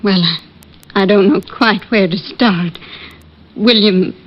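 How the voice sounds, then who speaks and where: A woman playing a gentle, anxious middle-aged widow, politely hesitant, in 1940s radio drama style. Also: medium pitch, slow. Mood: worried. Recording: muffled old-time radio recording.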